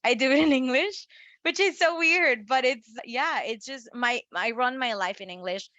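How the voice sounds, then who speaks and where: A woman from Mexico, a native Spanish speaker, talking casually as a marketing guest in an interview, animated and laughing often with frequent restarts. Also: sits high, normal speed, no room sound.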